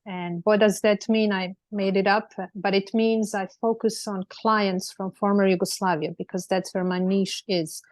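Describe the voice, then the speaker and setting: A woman's voice: mid-range voice, dry recording. A woman in her forties from Serbia with a Serbian accent, speaking as an attorney on a panel over a video call, friendly and full of fillers and restarts.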